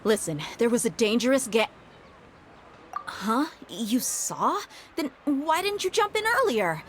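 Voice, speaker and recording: An actress playing a tough, loyal young bodyguard in a video game, with a blunt, casual way of talking. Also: female voice, a little high, very animated, measured pace, fairly bright tone, a little hiss or hum. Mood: startled, questioning.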